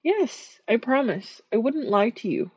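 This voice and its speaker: Sweet, shy dream eater spirit, a woman voicing her, soft soothing ASMR delivery, dry close mic. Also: on the high side, big pitch swings, a little slow, breathy edge, dry recording, lo-fi sound, exaggerated voice. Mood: gentle, soothing. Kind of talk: ASMR sleep-aid roleplay.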